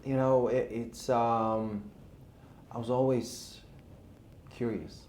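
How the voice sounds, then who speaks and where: A man's voice: medium pitch, little pitch movement, slow, a little mellow. A man, telling his story casually as an interview guest. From Albania. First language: Albanian. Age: 30s. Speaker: interview guest, real estate investor. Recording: roomy echo, faint background noise.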